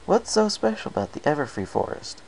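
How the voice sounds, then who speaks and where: An earnest young male pony from a storybook, played by a narrator, with an anxious, hesitant manner. The voice has a gravelly creak, and the recording carries some noise. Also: mid-range voice, big pitch swings, a little fast, a little mellow, pitched above the performer's own voice. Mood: puzzled.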